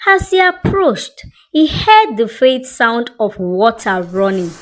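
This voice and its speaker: A woman narrating a scripted dramatic story in an expressive, suspenseful way. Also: on the high side, slow pace.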